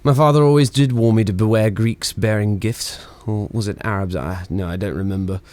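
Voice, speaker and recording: A man's voice: fairly low pitch, a little fast, darkish tone, close to an everyday voice. Wry wireless engineer, acted by a man. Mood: flippant, teasing. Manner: wry, rambling, exasperated, theatrically flippant. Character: witty, sardonic, reluctant male wireless engineer of the 1920s. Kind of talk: radio play acting.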